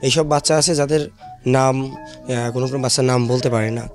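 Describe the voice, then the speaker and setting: A man with a Bangladeshi accent, a shelter worker explaining things in an interview in a halting way. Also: mid-range voice, steady tone, a little mellow, soft background music. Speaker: shelter home staff, interview guest.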